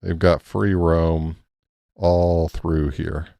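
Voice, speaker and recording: A man hosting a casual ham radio live stream, thinking aloud in a halting way with many restarts as he walks through a map on screen. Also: low voice, level tone, creaky, warm tone, no room sound. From Texas.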